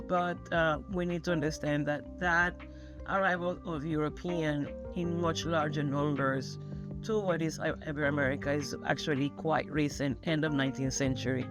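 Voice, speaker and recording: Emphatic woman, music underneath. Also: low-pitched. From Venezuela. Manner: emphatic, with many restarts. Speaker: guest scholar. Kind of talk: interview answer.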